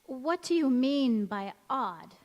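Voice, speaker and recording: Radio play: woman as genteel young-looking wife, high and unhurried, slightly anxious. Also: very animated, a little mellow, dull audio, heavily acted. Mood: guarded. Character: polite, anxious, youthful-looking married woman. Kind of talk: radio play acting on stage. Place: large hall, live stage performance. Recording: echoey hall with long reverb.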